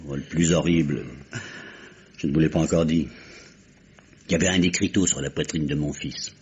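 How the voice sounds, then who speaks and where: An actor playing a bitter, grieving old judge bent on revenge, speaking emphatically and haltingly in an old radio mystery style. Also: male voice, very deep voice, fairly even intonation, a little mellow, slight background noise. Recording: muffled old radio drama recording.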